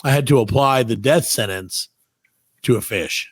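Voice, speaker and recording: A man's voice: mid-range voice, normal speed, no room sound. A man co-hosting a comedy podcast, telling casual, joking stories, acting out bits of dialogue and swearing freely. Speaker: podcast co-host. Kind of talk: casual comedic chat and storytelling.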